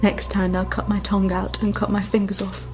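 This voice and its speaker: Radio drama: actress as defiant colonial wife, mid-range voice, embittered and curt. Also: female voice, medium pitch, completely flat delivery, fairly quick, a little mellow, soft background music, natural voice. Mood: bitter.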